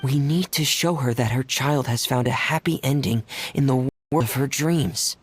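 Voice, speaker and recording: An actor playing a composed, thoughtful young man in a video game, with a husky, breathy voice and calm delivery. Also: medium pitch, close to an everyday voice. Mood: focused resolve. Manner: calm, deliberate.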